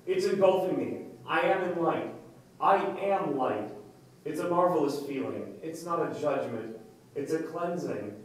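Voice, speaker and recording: A man acting an earnest, haunted man in a hypnotic trance, speaking deliberately and intensely in a staged play reading. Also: fairly high pitch, close to an everyday voice. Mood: awestruck, rapt. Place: indoor room, staged reading recorded from afar. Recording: very echoey room, distant mic, faint noise.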